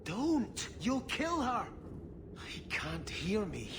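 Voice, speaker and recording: A man's voice: sits high, big pitch swings, drawn-out words, noisy background, exaggerated voice. A man playing an earnest, courteous exiled prince in a fantasy video game, speaking formally. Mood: anguished.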